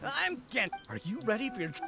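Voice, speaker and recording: An actor playing an excitable, eager gang underling in a video game. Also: male voice, extremely high pitch, fairly quick, gravelly, full character voice. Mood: eager, excited. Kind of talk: video game character acting. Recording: game music behind the voice.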